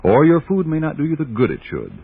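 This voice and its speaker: A man announcing in scripted 1940s radio style, with deliberate, emphatic salesmanship. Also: a little high, huge pitch swings, vocal fry, husky. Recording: tinny, band-limited old radio recording.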